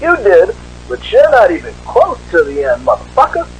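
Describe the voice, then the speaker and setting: A man playing a taunting, foul-mouthed avenger back from the dead in a dark amateur audio drama, jeering and mocking. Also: high voice, huge pitch swings, moderate pace, noisy background.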